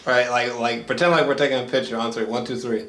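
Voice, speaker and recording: Excitable man rambling in a roomy space. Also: medium pitch, fast, a little hiss or hum. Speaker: movie reviewer, host. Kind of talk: casual unscripted chat review. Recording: roomy echo, distant mic.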